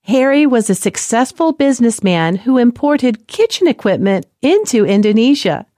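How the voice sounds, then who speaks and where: A woman narrating a story in a gentle, clear, measured reading style. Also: on the high side, very animated, no echo, studio quality. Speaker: presenter.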